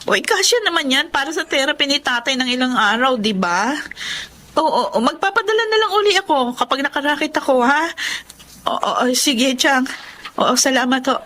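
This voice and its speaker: A woman's voice: sits high, expressive, normal speed, sharp tone. An actress playing a struggling young woman devoted to her sick father, in a radio drama. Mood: pleading. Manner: pleading, emotional radio-drama delivery.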